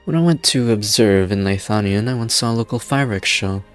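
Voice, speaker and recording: A man's voice: on the low side, fairly quick, smooth, natural voice. A man playing a grumbling, reminiscing male companion in a fan-dubbed game story. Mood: wry. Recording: faint background music.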